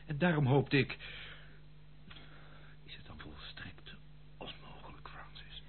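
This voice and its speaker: An actor playing a charming, spendthrift prodigal son in an old Dutch radio play, pleading in a theatrical, hesitant way. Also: male voice, medium pitch, big pitch swings, takes it slowly, whispered. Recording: muffled phone-like old radio recording, hum.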